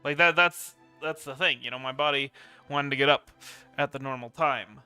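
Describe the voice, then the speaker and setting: Male streamer, very bright tone, very clear voice, casual, rambling, repetitive phrasing, close mic, faint music. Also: mid-range voice, moderate pace. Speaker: video game live streamer. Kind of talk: casual stream commentary and reading game text aloud.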